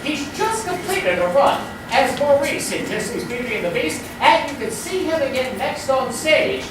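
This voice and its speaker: High-pitched animated woman hosting in an echoey theater. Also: normal speed, background noise. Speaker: host of a live stage show. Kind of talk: showy game-show hosting to an audience. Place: theater stage before a live audience. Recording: very echoey hall from far off, music behind.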